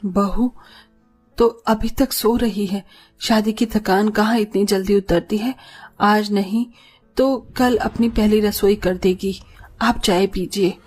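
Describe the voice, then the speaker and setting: A woman's voice: mid-range voice, fairly even intonation, slow pace, a little mellow. Woman as a patient mother-in-law over music. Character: patient, concerned middle-aged mother-in-law. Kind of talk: animated moral story dialogue.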